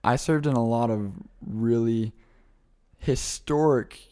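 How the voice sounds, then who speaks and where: A man's voice: mid-range voice, slow, warm tone, smooth. A young man from the American Midwest, answering interview questions in an eager, chatty way and laughing easily. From Midwest United States. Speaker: guest, returned missionary.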